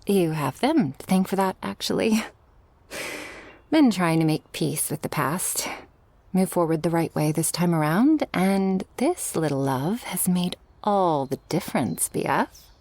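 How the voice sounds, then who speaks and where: A guarded yet tender shark girl of an ASMR roleplay, acted by a woman. Her voice is breathy and on the low side, very dry and close to the listener. She speaks softly and intimately, letting out sighs. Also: expressive, a little slow, no room sound. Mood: surprised, apologetic. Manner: soft, breathy, intimate, often sighing. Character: guarded, loving shark girl, former freak show performer.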